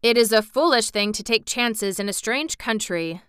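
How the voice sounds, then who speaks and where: A woman's voice: on the high side, expressive, moderate pace, a bit bright, clear, resonant tone, dry recording, studio quality. A woman voicing a practical, plain-spoken wandering man in a storybook reading. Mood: matter-of-fact.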